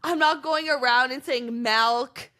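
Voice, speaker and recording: Bright-voiced Canadian woman chatting. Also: sits high, measured pace. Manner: chatty, lots of "like" and restarts. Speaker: podcast host. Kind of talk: casual solo recap chat.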